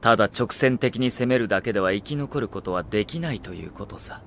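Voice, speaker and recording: Anime drama role: smooth, haughty young male strategist; theatrical, widely varied pitch, measured and ruthless. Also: animated, fairly bright tone, a little hiss or hum. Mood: condescending, cool. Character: suave, condescending young warlord's strategist, coolly ruthless. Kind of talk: Japanese anime audio drama acting.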